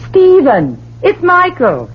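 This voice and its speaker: A woman's voice: very high voice, huge pitch swings, slow pace, full character voice. An actress playing an anxious, doting wife and protective mother in a 1970s radio drama, with a fussing, theatrical delivery. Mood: fussing, pleased. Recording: dull, hissy old radio recording.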